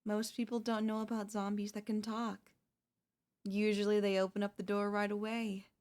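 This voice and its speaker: A woman's voice: medium pitch, completely flat delivery, normal speed, darkish tone, close to an everyday voice. A woman voicing a chatty, falsely friendly female zombie in a roleplay audio, coaxing the listener in a wheedling, conversational way with hesitant slips. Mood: amused, candid.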